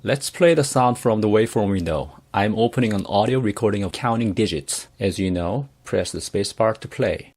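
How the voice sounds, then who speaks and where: A man's voice: fairly low pitch, lively intonation, fairly quick, hardly any pauses, a little mellow. A man narrating an instructional screencast, explaining plainly and step by step. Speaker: software tutorial narrator.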